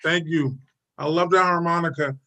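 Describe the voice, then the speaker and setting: Man host, high bright voice, rambling intro. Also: fairly even intonation, normal speed. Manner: rambling, full of fillers. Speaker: open mic host. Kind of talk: casual introduction.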